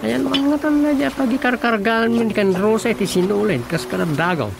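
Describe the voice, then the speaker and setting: An actor with an Ilocano Filipino accent playing a grumbling, flustered husband in a radio comedy drama, muttering in exasperation. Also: male voice, extremely high pitch, fairly quick, gravelly, over music.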